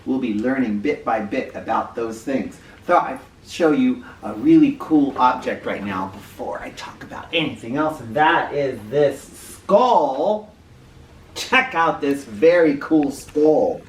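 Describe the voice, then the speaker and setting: Guy reading and explaining stuff to kids, really lively pitch, bit far from the mic in an echoey room. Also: slow pace. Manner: demonstrative, addresses children directly with questions and emphasis. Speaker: children's read-aloud host and teacher. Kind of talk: educational presentation and picture book read-aloud for children. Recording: roomy, distant mic with faint noise.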